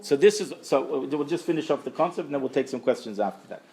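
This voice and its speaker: A man's voice: fairly high pitch, fast talker, mellow. A man giving a Torah lecture as a religious teacher, speaking emphatically and repeating phrases for stress. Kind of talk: Torah lecture to a live group.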